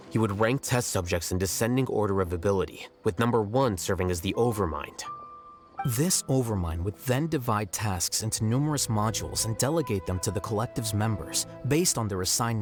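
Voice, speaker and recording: An actor playing a composed, analytical scholar who explains things in a calm, measured way, with game music behind. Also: male voice, fairly low pitch, natural voice.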